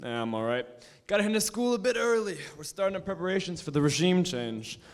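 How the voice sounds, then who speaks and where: A young man reads as an arrogant teenage boy who thinks he is king of the school, mocking and cocky. His pitch sits a little high and jumps about with lively swings. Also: quick pace. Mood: smug. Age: young adult. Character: smug, arrogant teen boy class king. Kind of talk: staged reading.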